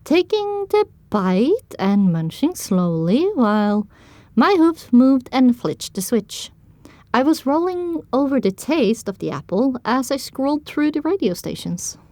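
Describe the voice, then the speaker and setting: A young heroine played by a woman narrates her own tale in a fairly high voice. The storytelling is descriptive and expressive, recorded close and dry. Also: a little slow, no room sound, exaggerated voice. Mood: narrating. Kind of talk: scripted first-person audiobook narration.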